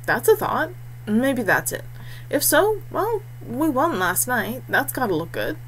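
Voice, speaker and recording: A level-headed young warrior man, read by a woman in a fantasy storybook, reasoning things out in a matter-of-fact way. There is a clear noisy hum in the recording. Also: high-pitched, sing-song, a little slow, a bit bright, voice pushed up, full character voice. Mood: considering. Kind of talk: audiobook character dialogue.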